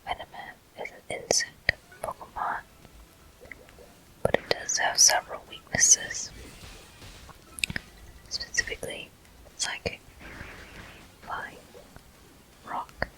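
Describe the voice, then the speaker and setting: A game walkthrough host delivers ASMR commentary entirely in a whisper. The phrases come very slowly, with pauses between them. The breathy hush has a bright, crisp edge and sits close to the microphone. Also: poor audio. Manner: slow, unhurried phrases with pauses.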